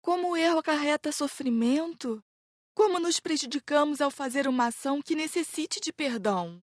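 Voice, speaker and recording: A reflective female student, played by a woman questions ruefully in audiobook dialogue. Her voice is high, and the recording is close and dry. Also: animated, normal speed, no room sound. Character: sighing, reflective female student troubled by human error.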